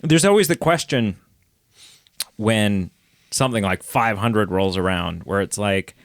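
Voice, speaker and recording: A man with a smooth, resonant voice that sits a little low, talking in loose, rambling podcast chat. He restarts and circles his thoughts easily. The sound is close and dry. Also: expressive, measured pace, no echo. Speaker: podcast co-host. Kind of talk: casual chat.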